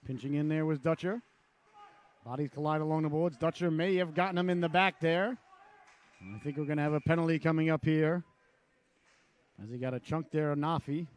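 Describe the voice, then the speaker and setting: A man with a raspy voice from a cold, calling live hockey play-by-play with chatty asides. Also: fairly high pitch, steady tone. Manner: chatty asides between rapid calls. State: hoarse from a cold. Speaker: play-by-play announcer.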